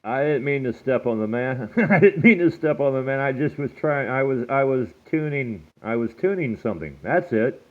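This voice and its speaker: A man's voice: mid-range voice, lively intonation, normal speed, hardly any pauses. Easygoing man on a tinny CB radio. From Washington state, US. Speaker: CB radio operator. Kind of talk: casual CB radio calls. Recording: thin phone-like radio audio with faint static.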